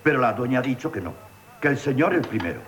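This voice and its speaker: Meek smitten servant, Castilian accent, very lively intonation, halting and respectful, old radio comedy style. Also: male voice, mid-range voice. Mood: deferential. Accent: Castilian Spanish. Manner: hesitant, deferential, starts with "eh". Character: timid, lovestruck manservant, deferential and long-suffering. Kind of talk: 1970s Spanish radio theatre acting.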